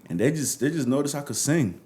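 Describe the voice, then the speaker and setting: A man's voice: medium pitch, animated, quick pace, a little mellow. A young Nigerian man with a Nigerian accent, talking casually as a musician guest in an interview.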